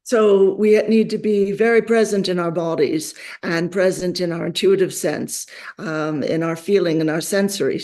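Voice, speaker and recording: A man's voice: high voice, fairly even intonation, moderate pace, darkish tone. A man hosting a talk show, reflectively summarizing his guest's points before asking questions. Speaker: radio talk show host. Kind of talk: interview questions.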